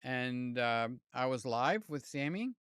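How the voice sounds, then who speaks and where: An older man hosting a live stream, chatting loosely with viewers, his voice slightly hoarse. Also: mid-range voice, animated, takes it slowly, a bit bright, dry recording, studio quality. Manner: rambling, jokey, talks to chat by name. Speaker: livestream host. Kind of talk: casual live chat with viewers. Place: home room with fans and air conditioner. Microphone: desk mic near the mouth.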